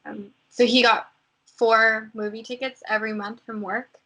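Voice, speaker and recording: A woman's voice: fairly high pitch, flat delivery, normal speed, pushed and bright, poor audio. A young American woman chatting casually as a podcast guest, rambling with lots of fillers. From United States. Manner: chatty, lots of likes and ums. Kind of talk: casual chat.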